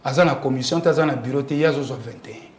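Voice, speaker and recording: A man's voice: medium pitch, rapid-fire, echoey room. A man with a Congolese accent explaining politics emphatically in an interview, often asking rhetorical questions. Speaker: interview guest commenting on politics. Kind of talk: animated political interview talk.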